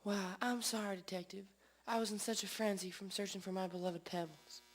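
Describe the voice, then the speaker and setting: A woman's voice: medium pitch, fairly even intonation, brisk, music in the background, close to an everyday voice. A woman playing a fretful, melodramatic lady pet owner with a theatrical, plaintive and very polite manner in a comic radio drama. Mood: worried, polite.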